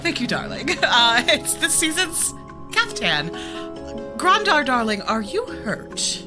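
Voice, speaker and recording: A theatrical, affectionate grand dame for a tabletop audio drama. Her high voice swoops extravagantly and lingers slowly over words in an affected, gushing way. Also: very animated, over music, heavily acted. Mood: fussing, affectionate. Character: flamboyant affectionate lady, grand theatrical darling.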